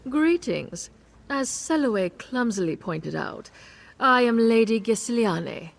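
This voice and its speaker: A woman's voice: fairly high pitch, expressive, slow, gravelly, full character voice. Lady Gisiliane, a haughty noblewoman. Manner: imperious, condescending. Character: haughty noblewoman who hosts cruel hunts. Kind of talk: video game voice acting.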